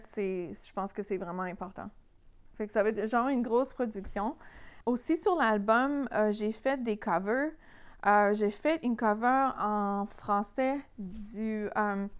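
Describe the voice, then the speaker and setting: A woman with a Québécois French accent, speaking as an interview guest in an informal, halting conversational way. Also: mid-range voice, smooth. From Quebec, Canada. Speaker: singer-songwriter, interview guest.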